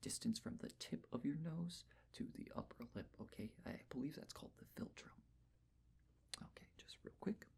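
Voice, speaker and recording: A person of unclear sex whispering gently in an ASMR roleplay, giving reassuring step-by-step instructions in a breathy voice. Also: mid-range voice, expressive, normal speed. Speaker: ASMR performer playing someone measuring a client's face.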